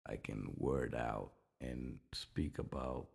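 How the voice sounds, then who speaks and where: A man's voice: extremely low pitch, takes it slowly, vocal fry, a little mellow, breathy edge. A man with a Puerto Rican Spanish accent co-hosting a podcast, chatting casually and rambling, often repeating words as he restarts. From Puerto Rico. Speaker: podcast co-host. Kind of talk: casual chat.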